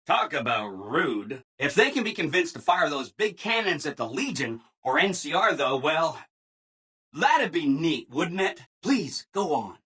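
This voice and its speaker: Eager-to-please game robot, chipper, gushing, bright forceful tone, swooping pitch. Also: male voice, very animated, unhurried, slightly rough, exaggerated voice. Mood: brightly matter-of-fact. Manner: chipper, exaggeratedly agreeable, gushing. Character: relentlessly cheerful, eager-to-please male robot assistant. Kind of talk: video game character dialogue.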